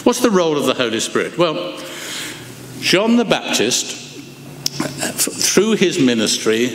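An older man preaching a sermon from a lectern in a large church, expressive and slowing down to stress his points. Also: fairly high pitch, a little hiss or hum. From England. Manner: expressive, gestures broadly, slows for emphasis. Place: large wooden church, indoors. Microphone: lectern microphone. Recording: echoey church hall, long reverb.

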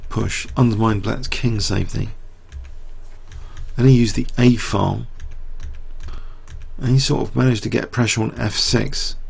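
A middle-aged man commentating live on a chess game, talking through the moves and quizzing his viewers, his voice getting croaky. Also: fairly low pitch, steady tone, a little slow, pauses often.

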